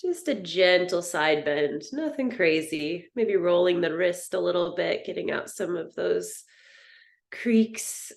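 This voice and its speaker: A woman teaching a guided meditation in a soothing, gentle, unhurried manner. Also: on the low side, expressive, vocal fry. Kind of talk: guided yoga nidra meditation.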